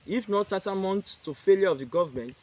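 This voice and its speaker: A man with a fairly high voice reads a prepared statement at a press conference. He moves through it in short, halting phrases, sometimes stopping to restart a line. Faint noise sits under the recording. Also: lively intonation, darkish tone, highs cut off. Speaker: spokesman reading a press statement.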